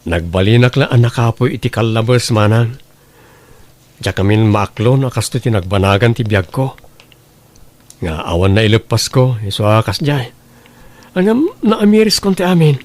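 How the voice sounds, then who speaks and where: A man's voice: mid-range voice, animated, normal speed, long pauses, lightly acted. A man with an Ilocano accent playing a humble, earnest young farmer son in an audio drama. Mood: remorseful.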